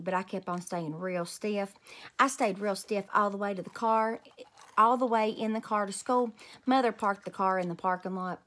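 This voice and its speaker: A woman reading a storybook aloud as a children's host, expressive and talking to young listeners. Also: medium pitch. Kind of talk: animated storybook read-aloud.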